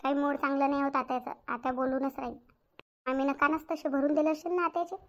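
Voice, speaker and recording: A woman's voice: high voice, fairly even intonation, fast, fairly bright tone, muffled recording. A woman voicing an outspoken, grumbling young daughter-in-law in a Marathi cartoon, with a rural Varhadi accent. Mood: resentful. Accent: rural Maharashtra, Varhadi Marathi. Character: indignant young village daughter-in-law, outspoken, complaining, caring. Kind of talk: domestic cartoon story dialogue.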